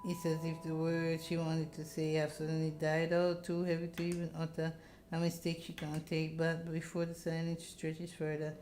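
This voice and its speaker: Female game streamer, low voice, dramatic visual novel narration plus casual commentary, faint music underneath. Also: completely flat delivery, moderate pace, a little mellow.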